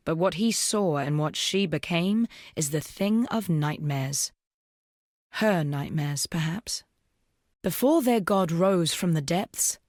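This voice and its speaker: Dark fantasy game role: solemn rebel woman, voiced by an actress, lowish pitch, stately old-fashioned phrasing, dry studio sound. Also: no room sound, natural voice. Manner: formal, measured, archaic phrasing. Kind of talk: scripted video game character dialogue.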